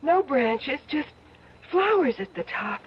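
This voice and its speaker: A worried, weary woman with a high, plaintive voice in an old radio drama. She frets as she speaks. The old broadcast is dull, with faint noise. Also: sing-song, takes it slowly, full character voice. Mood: uneasy.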